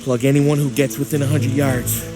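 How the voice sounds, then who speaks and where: An actor playing a brash, wisecracking middle-aged mobster in a video game cutscene, with crude jokes and tough street talk, over loud music. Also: male voice, mid-range voice, fairly even intonation, normal speed, close to an everyday voice. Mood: tense. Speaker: gangster.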